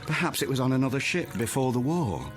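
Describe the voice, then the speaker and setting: A man's voice: mid-range voice, lively intonation, fast talker, music in the background, close to an everyday voice. An actor playing a deferential male ship's steward with a polite, formal manner. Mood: polite, reflective.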